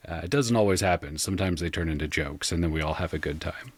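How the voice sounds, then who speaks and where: A man with a very deep voice speaks in a casual interview style. He is thoughtful and halting, choosing words as he goes and staying frank and informal. The sound is dry and close, with no room around it. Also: no echo. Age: millennial, 30s or so. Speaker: guest, audio drama creator and game designer. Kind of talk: casual podcast interview talk.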